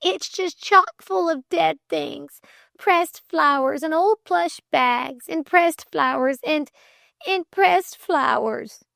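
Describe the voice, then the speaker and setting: A woman's voice: extremely high pitch, slow, gravelly, a bit bright, dry recording, clean studio sound, voice pushed up, heavily acted. A woman voicing an earnest, flustered young girl who talks formally and hesitantly in an audiobook story. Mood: tearful.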